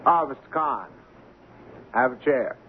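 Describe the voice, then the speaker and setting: A man playing a self-assured, dismissive shipping company boss with a measured, genteel manner in an old radio drama. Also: medium pitch, expressive, natural voice. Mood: smug, cordial. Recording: tinny old radio recording, faint noise.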